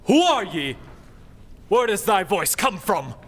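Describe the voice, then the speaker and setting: An indignant old-world villager in a radio play, drenched in long reverb. The voice is high and sharp, with slow, declamatory delivery. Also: male voice, sing-song, full character voice. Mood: suspicious. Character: stern, defensive old-fashioned male villager using antique speech. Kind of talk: old-time radio drama acting.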